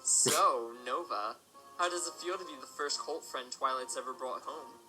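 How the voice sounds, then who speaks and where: A man's voice: mid-range voice, moderate pace, over loud music, natural voice, low-quality recording. An actor playing a teasing, protective middle-aged father in an audio drama.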